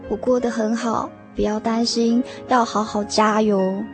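An actress playing a gentle, caring young girlfriend in a radio drama, speaking in a consoling, measured way. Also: fairly high pitch, level tone, music in the background, close to an everyday voice. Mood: restrained. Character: gentle, caring high-school girlfriend, devout and reassuring.

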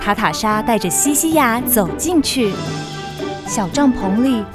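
Higher-voiced woman narrating a children's story. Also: lively intonation, measured pace, music in the background.

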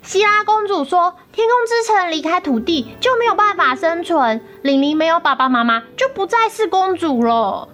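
A woman's voice: extremely high pitch, animated, moderate pace, few pauses, a bit bright. A woman acting a sweet, sickly little girl in a radio drama, with simple, childlike, endearing phrasing. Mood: earnest, innocent. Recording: music under the voice.

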